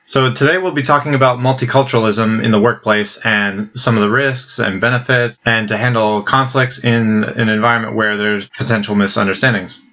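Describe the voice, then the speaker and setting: A man's voice: on the low side, fairly even intonation, fairly quick, few pauses, sharp tone. A man hosting a podcast, asking guests long, conversational questions. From United States. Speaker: podcast host. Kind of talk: podcast interviewing. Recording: dull lo-fi podcast audio.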